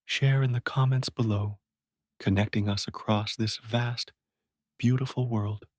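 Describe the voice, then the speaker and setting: A man narrating sleep meditation stories in a gentle, calming, measured way, like a guide at bedtime. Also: mid-range voice, no echo, clean studio sound.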